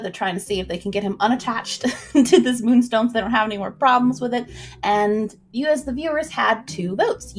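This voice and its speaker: A woman hosting a casual livestream, talking informally in a chatty, folksy way as she introduces and recaps a story. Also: medium pitch.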